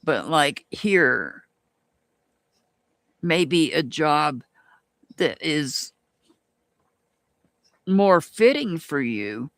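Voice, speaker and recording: Slow, musing reader with a Southern US accent. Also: mid-range voice, fairly bright tone, dry recording. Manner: slow, musing, restarts often. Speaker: tarot reader. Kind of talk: live-stream tarot reading, answering chat.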